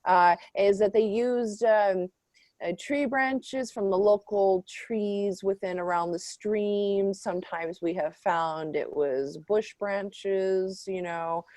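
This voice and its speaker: A woman plays an earnest archaeologist giving rambling expert answers in improv comedy. Her delivery is very slow and halting, recorded dry with little room sound. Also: mid-range voice, a little mellow, no room sound, lightly acted. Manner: halting, mock-scholarly. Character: earnest, rambling female archaeologist panelist on news debate.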